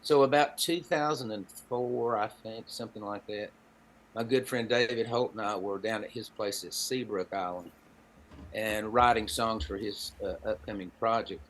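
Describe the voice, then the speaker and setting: A man's voice: fairly low pitch, steady tone, moderate pace, a bit bright. A man in his seventies with a Southern Appalachian American accent, telling personal anecdotes in a folksy, wry, conversational way. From Appalachian Tennessee, United States. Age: 70s. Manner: folksy, wry, dry humor. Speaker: podcast guest, storyteller and songwriter.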